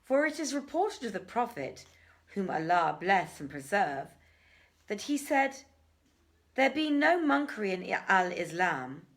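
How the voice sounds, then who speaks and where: Woman reading as a courtly, measured royal counsellor. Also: mid-range voice, sing-song, a bit bright. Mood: earnest, advising. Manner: formal, ornate, measured. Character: courtly male royal counsellor, sagacious, formal and deferential.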